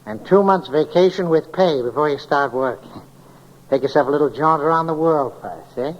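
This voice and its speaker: A man's voice: a little high, moderate pace, close to an everyday voice. An American actor playing a scheming, domineering old male tycoon in a 1940s radio drama, blustering and condescending. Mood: wheedling. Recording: dull vintage radio recording, faint noise.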